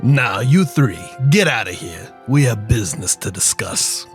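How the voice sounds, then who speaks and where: A man's voice: mid-range voice, lively intonation, faint music behind, close to an everyday voice. Menacing crime boss with swooping intonation man acting. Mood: contemptuous. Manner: formal, deliberate, coldly threatening. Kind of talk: 1940s noir radio drama acting.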